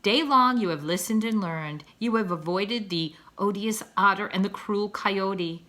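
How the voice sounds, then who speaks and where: A woman's voice: mid-range voice, a little slow, bright, forceful tone. A woman voicing a proud father swan in a children's storybook, with a pompous, florid, declamatory manner as he lectures his young. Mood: grandly nostalgic. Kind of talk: children's storybook read-aloud.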